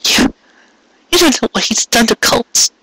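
A man's voice: very high voice, expressive, a little slow, pushed and bright, breathy edge, voice pushed up, exaggerated voice, rough recording. A man voicing a distraught, frightened young mare pony in an audio story, with a breaking, pleading delivery. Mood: despairing.

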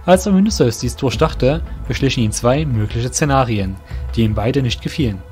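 A man tells a fantasy story as its narrator. His voice sits at a middle pitch and his phrasing is formal and bookish. Quiet music runs underneath. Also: moderate pace.

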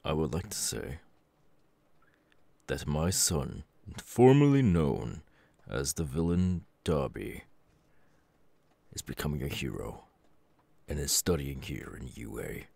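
A man playing a stern, gruff middle-aged superhero mentor and father in an ASMR audio roleplay, with a gravelly, husky voice and a measured, grave manner. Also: a bass voice, very animated, warm tone, no echo, exaggerated voice. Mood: serious, wary. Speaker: voice actor.

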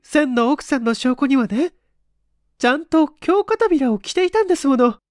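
A man's voice: very high voice, expressive, moderate pace, no echo, studio quality, voice pushed up. A man voicing a frightened, talkative wife with pleading, feminine speech in a dramatized story reading. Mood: shaken, insistent. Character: frightened, talkative young wife insisting on a ghost.

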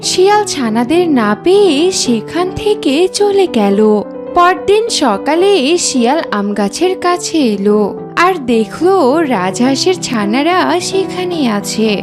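A woman's voice: high voice, big pitch swings, measured pace. A woman narrating a scripted children's cartoon story. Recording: music under the voice.

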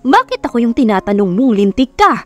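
A woman's voice: on the high side, big pitch swings, a little fast, soft background music. A woman voicing a stern, exasperated father in an audio romance story, serious and scolding.

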